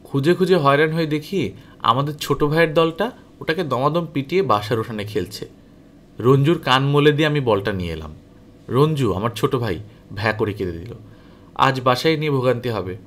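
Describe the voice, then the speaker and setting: Male audiobook narrator, expressive storytelling, animated delivery, lively swinging pitch, medium register. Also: fairly quick. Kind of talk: expressive scripted story narration, first-person as a boy.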